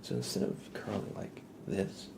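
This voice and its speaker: A man hosting an ASMR livestream, speaking in a hushed, breathy whisper as he chats with viewers. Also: moderate pace, background noise. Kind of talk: ASMR, live chat with viewers.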